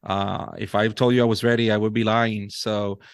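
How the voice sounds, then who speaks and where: Puerto Rican man, 30s, native Spanish speaker, earnest podcast guest, lowish voice, dry close sound. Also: flat delivery, moderate pace, smooth, no echo. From Puerto Rico. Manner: earnest, frequent restarts and fillers. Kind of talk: casual interview chat.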